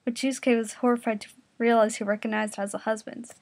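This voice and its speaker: High-pitched woman narrating a comic story. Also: flat delivery, fairly quick, gravelly, a little mellow, a little hiss or hum. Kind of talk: comic narration of a fan fiction parody.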